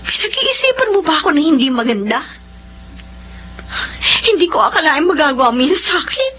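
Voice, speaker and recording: A woman's voice: high voice, very animated, moderate pace, a bit bright, dull audio. An actress with a Filipino accent playing a troubled young wife and mother, hurt yet loving, in a radio drama. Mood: hurt, reproachful.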